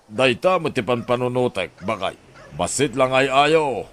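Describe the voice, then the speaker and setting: An actor with an Ilocano accent playing a scheming, greedy middle-aged husband in a radio drama. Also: male voice, mid-range voice, expressive, unhurried, fairly bright tone, slight background noise. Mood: sly, calculating. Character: scheming greedy husband eyeing a poor neighbour's land.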